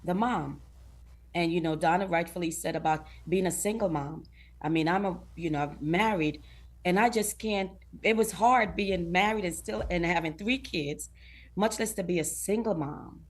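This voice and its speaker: A woman's voice: lowish voice, normal speed. A middle-aged woman from Guyana, a talk show guest who speaks earnestly, laughs often and acts out what other people say. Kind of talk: casual interview chat.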